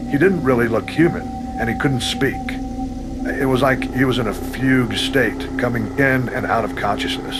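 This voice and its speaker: A man's voice: mid-range voice, normal speed, close to an everyday voice. An actor playing a shaken male search team member, giving hesitant, plain-spoken testimony in an analog horror story. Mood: disturbed, uneasy. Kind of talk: analog horror found-footage interview. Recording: loud music under the voice.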